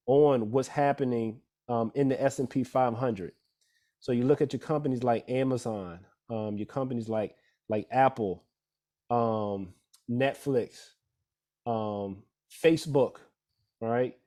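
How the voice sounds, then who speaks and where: A man at a middle pitch walks listeners through investing basics in a relaxed, dark-toned voice. He is a financial advisor presenting slides. He keeps checking in with his audience as he explains. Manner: conversational, many fillers and "all right?" checks. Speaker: financial advisor giving a talk. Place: church event room.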